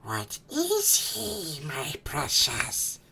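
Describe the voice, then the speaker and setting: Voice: mid-range voice, huge pitch swings, sharp tone, voice pushed up, exaggerated voice. A narrator voicing a small slimy creature that hisses and whispers to itself, hungry and sinister, in a storybook reading. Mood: wary, curious. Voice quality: hissing, whispery, husky rasp. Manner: slow, drawn-out, sinister muttering to itself. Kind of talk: storybook character voice.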